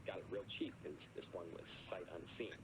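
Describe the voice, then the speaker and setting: An actor playing a laid-back caller who rambles about buying storage units. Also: male voice, low-pitched, fairly quick, lightly acted. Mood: rambling. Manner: rambling, casual, easygoing. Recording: steady background noise.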